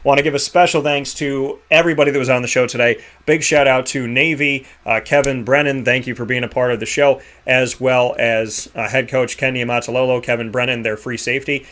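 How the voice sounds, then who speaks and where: A man hosting a sports radio show, giving rambling, energetic shout-outs and sponsor plugs. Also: medium pitch, fairly quick, few pauses. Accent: Boston. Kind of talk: enthusiastic sign-off with sponsor plugs.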